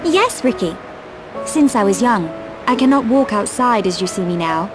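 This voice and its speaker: A regal young princess, acted by a woman, mid-pitched, gentle and formal. She speaks with composed game-drama delivery over clear background music. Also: animated, moderate pace, lightly acted. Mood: solemn, reserved. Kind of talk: video game voice acting.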